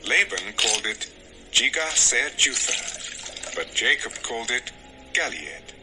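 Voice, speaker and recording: A male narrator tells a scripture story as scripted drama. His mid-pitched voice rises and falls in an animated way. Music plays clearly underneath. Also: a little slow.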